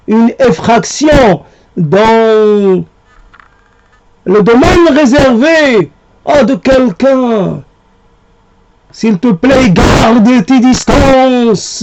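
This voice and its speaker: A man with a high-pitched voice recites poetry at an extremely slow pace. He breaks the text into short, separated phrases, so the delivery is halting and spoken piece by piece. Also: a little mellow.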